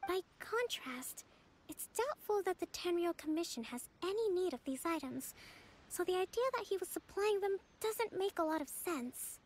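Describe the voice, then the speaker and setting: A woman voicing a gentle, composed young female strategist in a video game, speaking in a measured, formal, thoughtful way. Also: very high voice, animated. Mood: reasoning.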